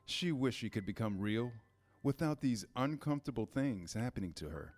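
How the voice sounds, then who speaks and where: A man's voice: medium pitch, animated, moderate pace, darkish tone. A man narrating a children's story as scripted reader's theater.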